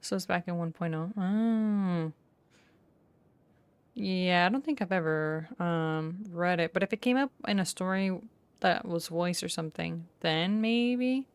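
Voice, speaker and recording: A woman streaming a game with casual reaction commentary, musing aloud and correcting herself, in a creaky voice. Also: lowish voice, a little slow, no echo.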